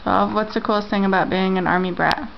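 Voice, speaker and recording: A woman's voice: medium pitch, fairly even intonation, a little fast, creaky, a little hiss or hum. Mom interviewing her kids on camera. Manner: repeats answers back, gentle questioning. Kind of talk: casual home interview. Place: family home, indoors. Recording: lo-fi home camera audio.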